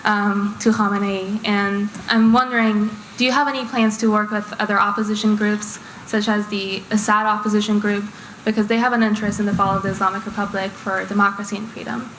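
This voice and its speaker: Brief: young female student, even monotone delivery, echoey hall. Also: mid-range voice, fairly quick. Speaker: student asking a question. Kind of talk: audience question at a panel. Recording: echoey hall, faint noise.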